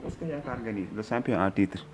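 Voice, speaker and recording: A man's voice: fairly low pitch, a little fast, slightly rough. A man asking a football analyst loose questions in an interview. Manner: loose questions mixing French and Wolof. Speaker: journalist.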